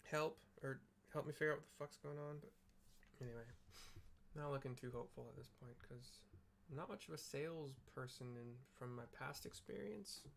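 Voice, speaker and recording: A man's voice: medium pitch, a bit bright. A man giving informal, wry and self-deprecating gameplay commentary mixed with personal chat. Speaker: gaming streamer and narrator. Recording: clear background noise.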